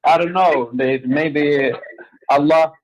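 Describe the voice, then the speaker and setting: A man's voice: a little high, steady tone, takes it slowly, highs cut off. A man in a casual debate, hesitant and agreeable, mostly answering briefly and stumbling when he pushes back. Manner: hesitant, agreeable, short replies.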